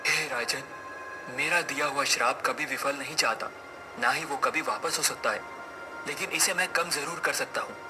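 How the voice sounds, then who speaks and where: A man's voice: mid-range voice, moderate pace, music in the background, lightly acted. A man playing a wrathful hermit sage in a cartoon tale, speaking in grave, declamatory, old-fashioned address. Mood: stern, solemn. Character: wrathful male hermit sage pronouncing a solemn curse. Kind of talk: dramatic cartoon story acting.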